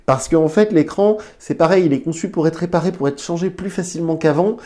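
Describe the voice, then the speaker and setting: A man's voice: fairly high pitch, fairly quick, mellow. Nasal-sounding man with a head cold. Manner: chatty, hesitant fillers and restarts. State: stuffy nose from a cold. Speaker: tech reviewer, channel host. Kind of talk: casual tech review to camera. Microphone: lapel mic.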